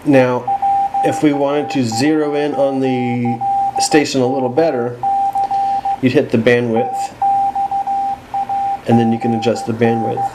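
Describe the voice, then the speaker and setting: A man's voice: mid-range voice, steady tone, unhurried, pauses often, very noisy. A man giving a casual, unscripted radio gear walkthrough, thinking aloud in a hesitant, self-deprecating way. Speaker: radio hobbyist presenting a gear demonstration.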